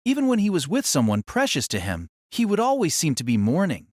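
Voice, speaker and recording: A man narrating a novel as a dramatic audio reading, solemn and theatrical, with measured phrasing. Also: on the high side, huge pitch swings, no room sound, clean studio sound.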